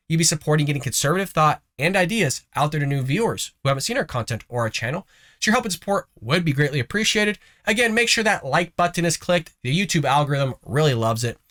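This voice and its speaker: Guy hosting his political show, stuffy nose from a cold, bright punchy voice, a bit high. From United States. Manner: lively, folksy, sarcastic asides. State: congested, recovering from a cough. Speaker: host of a conservative news show. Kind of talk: casual political commentary.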